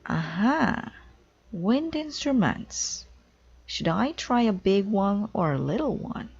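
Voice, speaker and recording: A woman voicing an eager, innocent, literal-minded woman from a children's storybook, in a bright, exclamatory way. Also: medium pitch, very animated, slow pace, breathy edge, lo-fi sound, voice pushed up, exaggerated voice. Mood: pleased, busy.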